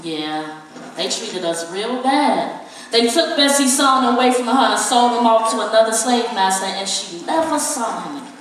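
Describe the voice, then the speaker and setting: A woman's voice: fairly high pitch, lively intonation, moderate pace, lightly acted. A woman acting a protective single mother in a stage play, speaking colloquially and with emphasis. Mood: tender, sorrowful. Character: protective single mother, warm with son, defensive with strangers. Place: theatre stage. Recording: very echoey theatre, distant mic, faint noise.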